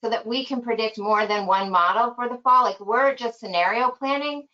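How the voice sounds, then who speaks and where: A woman's voice: on the high side, moderate pace, pushed and bright, lo-fi sound. A woman who chairs a school board, asking a long, thoughtful question in an online meeting and thinking aloud as she goes.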